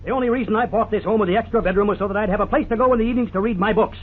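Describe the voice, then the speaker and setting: A man's voice: sits high, rapid-fire, lightly acted. An actor playing a harsh, snobbish, domineering husband and father in a 1950s radio drama. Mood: irritated. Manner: domineering, scornful, clipped orders. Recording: scratchy, tinny 1950s radio recording.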